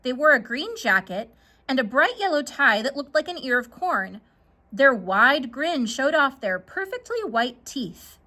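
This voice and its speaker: A woman narrates a children's story in a high, bright voice. She takes her time, with very lively, varied intonation. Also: a little slow.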